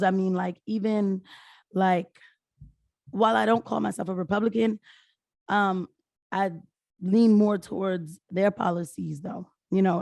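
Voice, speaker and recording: American woman, 30s, hoarse, informal political vlogger, rambling casual delivery, very dry close sound. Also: fairly low pitch, darkish tone, dry recording. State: hoarse after being sick. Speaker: political video commentator. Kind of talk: casual talk to viewers.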